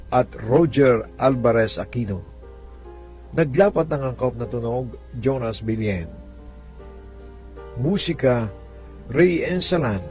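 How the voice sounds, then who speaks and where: A man giving a scripted radio drama announcement in a formal, measured manner. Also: medium pitch, darkish tone. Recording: radio announcement over music.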